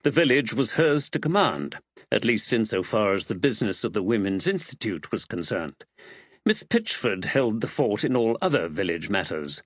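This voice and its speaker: A man's voice: on the low side, big pitch swings, moderate pace, dry recording. A man reading a scripted mystery audiobook as its narrator, on slightly dull audio.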